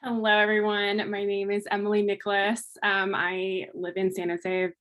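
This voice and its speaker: A woman's voice: mid-range voice, completely flat delivery, normal speed, a bit bright. A young woman in her twenties from California, a talent specialist introducing herself casually, trailing off with ums. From California, USA. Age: 20s. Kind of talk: self-introduction.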